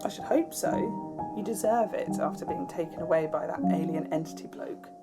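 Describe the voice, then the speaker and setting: A woman's voice: low-pitched, normal speed, loud music behind the voice, natural voice. A woman playing a teasing, affectionate young friend on a phone call in a fan-made audio drama, chatty and joking. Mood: teasing, curious.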